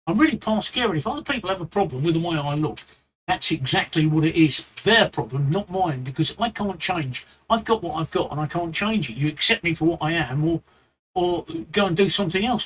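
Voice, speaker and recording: A man's voice: fairly high pitch, animated, brisk, fairly bright tone, slight background noise, rough recording. A man with a London accent giving blunt streaming advice live, repeating himself and rambling into asides. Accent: London English. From England.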